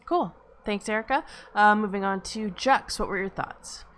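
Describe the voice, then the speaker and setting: A woman's voice: mid-range voice, moderate pace, a bit bright. A woman moderating a casual online feedback discussion as host.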